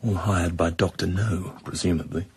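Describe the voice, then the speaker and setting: A man's voice: sits low, steady tone, normal speed, darkish tone, slightly rough, no room sound, full character voice. An actor with a British accent playing a cool, wry male secret agent in a radio drama, speaking in a dry, clipped way.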